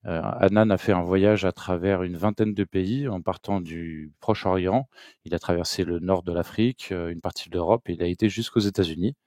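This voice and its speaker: A man's voice: deep voice, moderate pace, a little mellow, no room sound, studio quality. A man interviewing a guest over a video call, asking several follow-up questions in a row. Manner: asks questions in a string of follow-ups.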